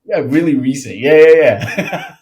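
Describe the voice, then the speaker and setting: A man's voice: on the high side, measured pace. A young man, a podcast guest chatting loosely with many restarts. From Ethiopia. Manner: relaxed, rambling with frequent restarts and laughs. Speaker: podcast guest, worship singer. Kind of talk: casual conversational interview.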